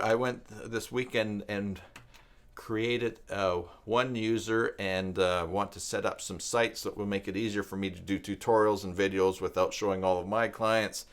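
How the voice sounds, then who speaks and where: A middle-aged man hosting a casual live stream, rambling and thinking aloud, often repeating himself. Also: low-pitched. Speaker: live stream host, web tutorial maker.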